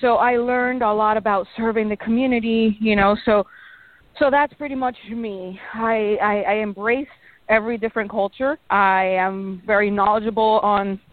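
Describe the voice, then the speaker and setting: A woman's voice: medium pitch, fairly even intonation, moderate pace, no echo, phone-quality sound. A woman in her thirties from Panama with a Panamanian Spanish accent, a native Spanish speaker chatting as a guest in a radio interview. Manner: friendly, chatty, bubbly. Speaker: guest, meteorologist.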